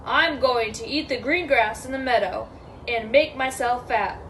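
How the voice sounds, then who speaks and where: A woman's voice: on the high side, measured pace, fairly bright tone, a little hiss or hum. A woman voicing a big, fearless male billy goat in a storybook, with a defiant manner. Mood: matter-of-fact. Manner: defiant, unafraid.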